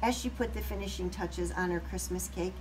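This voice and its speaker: A woman reading a picture book aloud to young children, expressive, with explanatory asides. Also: on the low side, brisk, a little hiss or hum, poor audio.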